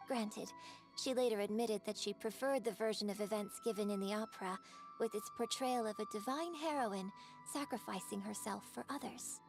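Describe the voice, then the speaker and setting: A woman's voice: mid-range voice, normal speed, gravelly, natural voice. A woman playing a courteous young opera performer in a fantasy video game, speaking in a formal, reflective manner. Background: game music.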